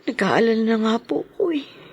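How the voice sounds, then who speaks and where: An actress playing a gentle, distraught young Filipino wife in a radio drama, tearful, halting and pleading. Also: female voice, fairly high pitch, expressive, husky. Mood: worried, downcast.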